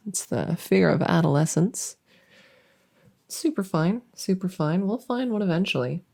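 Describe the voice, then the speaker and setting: Friendly female monster under the bed, played by a woman, silly ASMR roleplay: mellow, low, soft-spoken, playful rambler.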